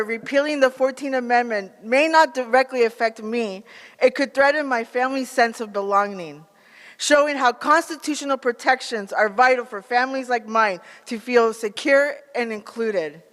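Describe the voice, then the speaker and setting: A man with an American accent giving a prepared advocacy speech in an earnest, measured manner. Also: extremely high pitch, a bit bright, in a large hall. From United States, born in Peru. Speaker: national organizer, advocate.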